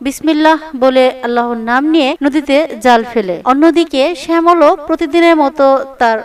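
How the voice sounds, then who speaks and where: High-pitched woman narrating a story. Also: moderate pace, distant mic. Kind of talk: scripted children's story narration.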